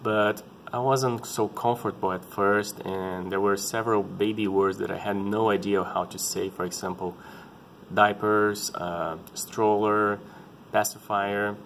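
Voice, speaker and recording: Man in his thirties, Brazilian Portuguese accent, native Portuguese speaker using English. Low-pitched, earnest, halting delivery with frequent restarts. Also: steady tone, a bit bright, a little hiss or hum. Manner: halting, earnest, often restarting to find words. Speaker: parent sharing his experience. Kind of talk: casual personal vlog talk.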